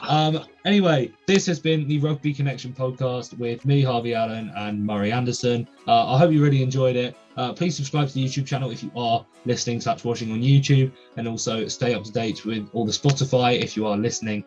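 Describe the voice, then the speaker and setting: A man's voice: medium pitch, a little fast, hardly any pauses, darkish tone, soft background music. Young male host, chatty and filler-heavy; muffled lo-fi recording. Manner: chatty, many ums and uhs. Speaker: podcast host. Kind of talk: casual podcast chat.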